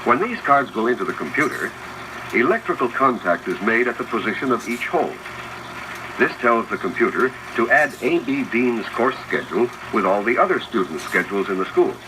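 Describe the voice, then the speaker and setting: Noisy old 16mm school film, guy narrating, lively intonation, teachy scripted delivery. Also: mid-range voice, fairly quick. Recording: noisy 1970s 16mm film soundtrack, projector whir. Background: mechanical projector noise.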